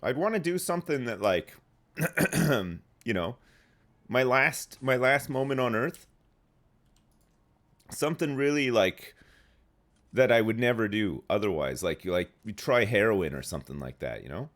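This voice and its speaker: A man's voice: mid-range voice, expressive, measured pace, long pauses, fairly bright tone. A man in his thirties streaming a game live, phlegmy and rambling as he answers chat. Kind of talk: casual live gameplay chat.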